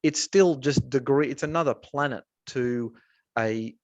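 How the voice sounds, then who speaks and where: A man from Australia talking casually in a webinar, pausing often with fillers and restarts, speaking from an office building. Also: mid-range voice, a little mellow, dry recording, highs cut off. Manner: hesitant, many restarts and fillers. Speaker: researcher.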